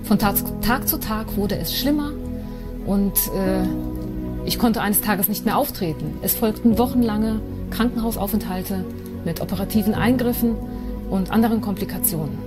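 A woman of mid pitch tells her personal testimony in an earnest, measured voice. Loud ambient music plays under her words. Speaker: testimony speaker.

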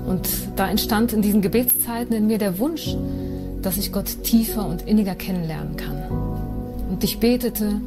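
Woman telling a testimony over music. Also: mid-range voice. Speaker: testimony speaker. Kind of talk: read personal testimony. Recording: over loud ambient music.

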